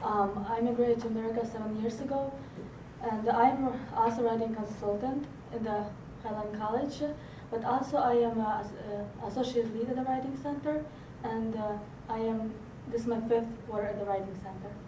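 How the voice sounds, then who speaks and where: Brief: young student tutor, native Russian, halting speech, roomy and noisy. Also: female voice, fairly high pitch, steady tone. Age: young adult. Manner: hesitant, halting phrasing. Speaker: student writing consultant. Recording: echoey room, distant mic, background noise.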